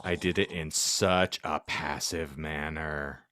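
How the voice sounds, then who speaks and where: A man's voice: very deep voice, steady tone, takes it slowly, sharp tone, dry recording, clean studio sound. A man hosting a podcast, reading fan fiction theatrically for comedy and exaggerating oddly spelled words. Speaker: podcast host and narrator.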